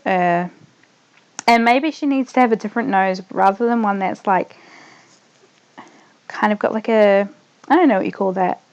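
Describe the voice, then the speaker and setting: A young woman with a New Zealand accent tinged slightly Australian, chatting loosely as a streamer while she plays, trailing off quietly as she concentrates. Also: mid-range voice, normal speed, pauses often, clear, resonant tone, dull audio. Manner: rambling, trails off softly when focused on the game. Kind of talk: casual gaming livestream chat.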